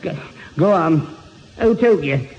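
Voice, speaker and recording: A man's voice: a little high, lively intonation, slow, darkish tone. An actor playing a daft, easily flustered Northern English lad in an old radio sitcom, stammering over his words. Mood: doubtful, indignant. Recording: dull, reverberant, noisy old radio recording.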